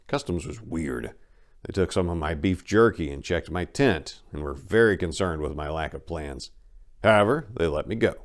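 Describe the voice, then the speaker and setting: A man speaking as host and dramatic reader, with theatrical, emphatic phrasing. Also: low-pitched. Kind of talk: dramatic audiobook reading.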